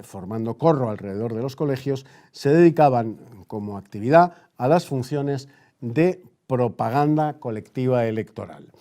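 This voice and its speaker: Spanish guy doing old time radio news bulletin, soft dark voice, halting, repeats stuff for emphasis. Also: medium pitch. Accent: Spain. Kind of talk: news bulletin in the manner of a 1930s radio newscast.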